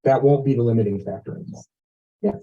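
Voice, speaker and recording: A man's voice: medium pitch, quick pace, warm tone. A man giving an informal, folksy presentation to neighbours at a meeting, explaining things with plain comparisons. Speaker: community board member presenting at a meeting. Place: neighbourhood meeting, relayed over video call. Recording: muffled, distant microphone, meeting audio.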